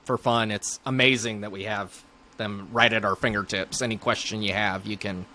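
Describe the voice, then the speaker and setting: A man's voice: on the low side, fairly even intonation, normal speed, sharp tone. A man in his thirties speaking as a neurologist guest in a casual interview.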